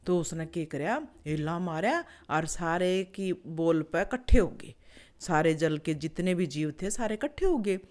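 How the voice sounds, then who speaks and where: A woman's voice: on the low side, lively intonation, unhurried, darkish tone. A woman with a Haryanvi accent telling an animal fable in a conversational, folksy way, repeating phrases for effect. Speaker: storyteller, show host.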